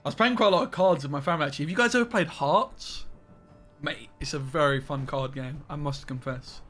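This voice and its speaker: A man's voice: fairly high pitch, brisk, a bit bright, soft background music. A young man chatting casually with viewers on a live game stream from his bedroom, rambling and greeting people by name.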